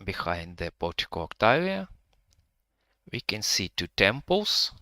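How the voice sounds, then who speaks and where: Slow, bright-voiced man with a Russian-Ukrainian accent. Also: fairly low pitch, big pitch swings, no echo, clean studio sound. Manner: hesitant, pausing to find words; halting non-native English with frequent fillers. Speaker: virtual tour guide. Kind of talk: live-streamed virtual walking tour.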